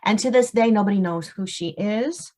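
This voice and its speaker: A woman's voice: medium pitch, normal speed, warm tone. A woman speaking as a guest author in a casual interview, explaining things in a storytelling way with examples. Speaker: guest novelist.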